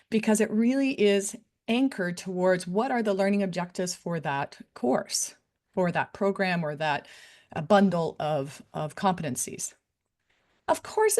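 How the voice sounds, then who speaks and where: Conversational woman giving a talk. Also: medium pitch. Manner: conversational, with fillers and tag questions. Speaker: academic integrity director giving a faculty talk. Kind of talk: conference presentation.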